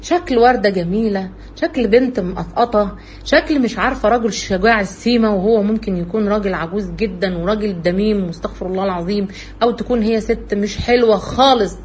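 Egyptian woman, quick religious talk, earnest storytelling, faint room noise. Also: medium pitch. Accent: Egyptian Arabic. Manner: earnest, animated, acts out her own story. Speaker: religious speaker.

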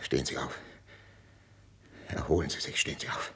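A man's voice: deep voice, expressive, moderate pace. Volatile, proud, jealous king. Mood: hushed, suspicious. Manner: imperious, theatrical, swinging from hushed menace to outbursts. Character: proud, jealous, volatile older Spanish king, tormented by suspicion. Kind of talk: 1950s German classical radio drama acting.

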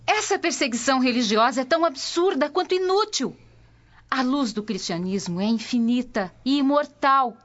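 A woman's voice: high-pitched, expressive, moderate pace. A woman playing an idealistic, defiant young Roman noblewoman in a radio drama. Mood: fervent conviction. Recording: dull lo-fi radio drama audio.

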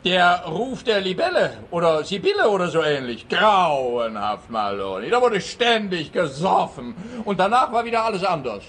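An actor playing a blustering, dim-witted, officious police officer in a comic radio play. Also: male voice, high-pitched, sing-song, moderate pace, hardly any pauses, a bit bright, full character voice. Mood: self-important. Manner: pompous, officious, threatening.

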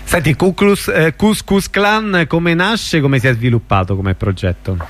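A male radio host conducting a casual interview, putting his questions in an informal, halting way. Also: a little high, lively intonation, faint music behind.

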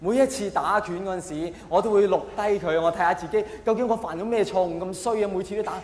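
A man's voice: high-pitched, quick pace, a little mellow. A man with a Hong Kong Cantonese accent performing a theatrical, self-mocking stand-up monologue. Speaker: stand-up comedian. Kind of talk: stand-up comedy monologue to a live audience. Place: large live comedy venue. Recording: echoey hall, faint noise.

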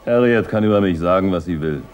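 An actor playing a bitter, belligerent young heir in a German radio crime drama, gruff and threatening. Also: male voice, low-pitched, quick pace, a little mellow, soft background music, lightly acted. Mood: scornful. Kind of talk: German radio play acting.

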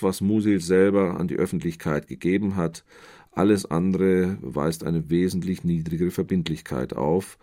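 A man giving explanatory expert commentary as a literary scholar, in measured, formal wording. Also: low-pitched, warm tone.

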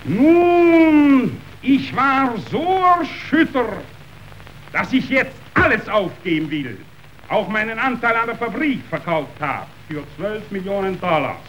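An actor playing a ruthless yet sentimental meat magnate in a verse radio drama, declamatory, wheedling and self-pitying. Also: male voice, sits high, expressive, takes it slowly. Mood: theatrical, shaken. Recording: dull radio recording, faint noise.

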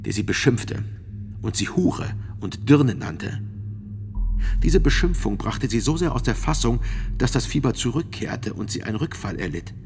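An actor playing a sober physician who gives measured, precise testimony as a witness in a radio drama. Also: male voice, mid-range voice, big pitch swings, creaky, a little mellow, slight background noise. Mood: sober, grave.

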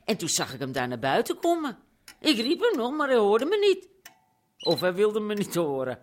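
An actress in a radio drama playing a chatty, gossipy housewife with an expressive way of talking. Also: female voice, mid-range voice, normal speed, a little hiss or hum. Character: chatty ailing housewife confiding in a friend.

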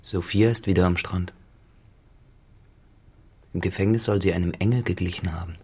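An actor playing a cynical, dissolute farmhand turned tenant in a literary radio drama, grave and deliberate in manner. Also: male voice, low voice, steady tone, darkish tone, slightly rough. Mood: cool, insolent.

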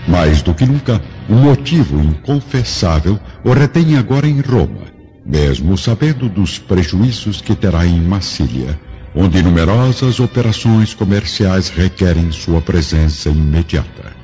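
Deep, solemn male radio drama narrator. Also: big pitch swings, a little slow, warm tone. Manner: solemn, theatrical. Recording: dull lo-fi audio with music.

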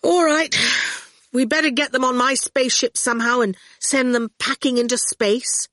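A woman's voice: sits high, normal speed, a bit bright, no room sound, pitched above the performer's own voice. A woman voicing an earnest, anxious boy who calls out urgently in a children's story. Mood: resigned. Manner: urgent calling out.

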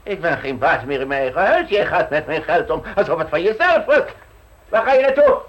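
A man's voice: fairly high pitch, sing-song, brisk, dull audio. An actor playing a grumpy, tight-fisted wealthy man in a radio play, stammering and repeating himself as he protests. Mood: exasperated. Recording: noisy old radio play recording.

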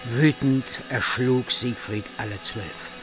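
An actor playing a grave veteran vassal with measured, weighty delivery in a German radio drama of a heroic epic. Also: male voice, mid-range voice, music in the background, natural voice. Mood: grave storytelling. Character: grave veteran vassal recounting a hero's feats.

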